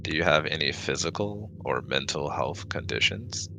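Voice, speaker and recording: A man's voice: a bass voice, animated, moderate pace, gravelly, noisy background, full character voice. A man playing a stiff, formal alien scientist in a scripted ASMR roleplay, clinical and robotic in manner. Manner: formal, robotic, avoids contractions.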